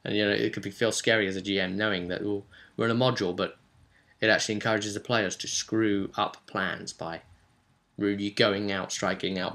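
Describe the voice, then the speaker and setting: A man with a slightly low, very bright and forceful voice hosts a casual recap of a tabletop role-play session. He talks as a game master, chatty and explanatory, often wandering into asides. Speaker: game master and video host.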